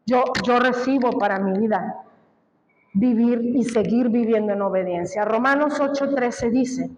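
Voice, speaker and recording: Slow, emphatic woman preaching in an echoey church. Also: fairly high pitch, darkish tone, slight background noise. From Colombia. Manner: slow, emphatic, with rhetorical questions to the congregation. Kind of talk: sermon.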